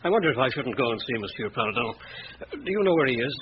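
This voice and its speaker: A man's voice: medium pitch, big pitch swings, racing, close to an everyday voice. A man playing a fussy, hesitant doctor in a radio drama, apologetic and inclined to ramble. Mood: uncertain. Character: fussy, hesitant male police-case doctor. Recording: muffled, noisy radio recording.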